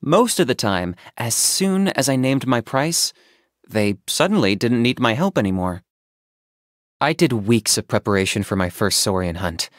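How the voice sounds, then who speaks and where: An actor voicing a stoic, businesslike young male saurian hunter in a video game, speaking in a measured, matter-of-fact deadpan. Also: mid-range voice, no echo, clean studio sound, lightly acted. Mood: calm, dry. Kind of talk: scripted video game character monologue.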